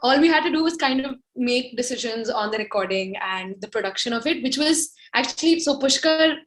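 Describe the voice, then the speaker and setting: A woman's voice: on the high side, lively intonation, a little fast, a bit bright, soft background music. A woman in her twenties from India, a musician chatting eagerly in an interview. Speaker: musician, duo member. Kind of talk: casual interview chat. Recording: roomy echo.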